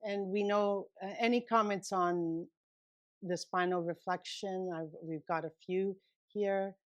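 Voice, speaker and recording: A woman giving a relaxed, conversational lecture, thinking aloud and taking questions. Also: mid-range voice, measured pace, dull audio. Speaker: guest lecturer. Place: lecture room.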